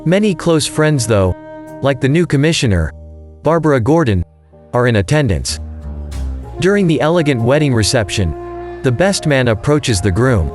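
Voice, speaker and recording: A man narrates an audio drama in a dramatic scripted style. His mid-range voice moves around in a lively way, and music is clearly heard behind him. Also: medium pitch, moderate pace.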